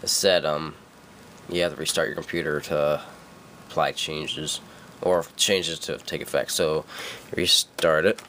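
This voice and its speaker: A man's voice: rumbling low, steady tone, a little slow, pushed and bright, a little hiss or hum. A young man with a stuffy cold, casually talking through a computer tutorial, rambling and correcting himself with many fillers. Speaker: tutorial maker. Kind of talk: casual screen-recorded tutorial. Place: dim room at a computer.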